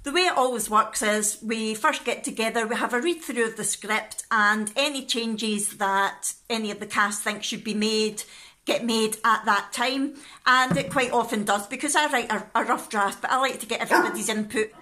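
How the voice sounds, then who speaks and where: A middle-aged Scottish woman talks casually to camera, chatty and informal. Her voice is fairly high and clearly bright, recorded on a phone held at arm's length at home. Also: normal speed. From Scotland. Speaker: vlogger and pantomime scriptwriter. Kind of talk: casual vlog talk to camera. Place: living room at home.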